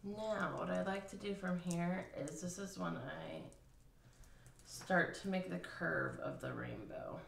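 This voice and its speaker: A woman's voice: on the low side, expressive, slow pace, creaky, a little hiss or hum. A woman casually explaining a craft step by step at a table, thinking aloud with fillers. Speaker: craft instructor. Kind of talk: casual hands-on craft tutorial. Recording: roomy, slightly distant.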